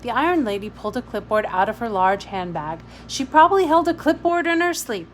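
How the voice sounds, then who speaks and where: A woman narrating a novel aloud in an expressive storybook reading style. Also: a little high, fairly quick, slight background noise.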